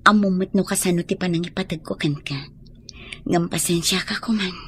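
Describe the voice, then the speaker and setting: An actress playing a heartbroken young woman in an Ilocano radio drama, loving but firm, with a breathy, sighing, emotional delivery. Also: lowish voice, normal speed, fairly bright tone, a little hiss or hum. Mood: sorrowful, firm.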